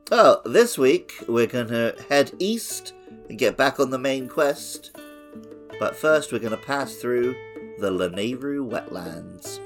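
A male podcast co-host chats casually about a video game. His pitch moves around a lot in an animated way, and music plays softly behind him. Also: slow pace. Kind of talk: casual two-man video game playthrough chat.

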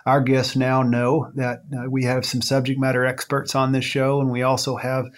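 A man's voice: mid-range voice, monotone, normal speed. A man co-hosting a podcast, introducing a guest and asking questions in a loose, friendly way with many fillers and restarts. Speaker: podcast co-host.

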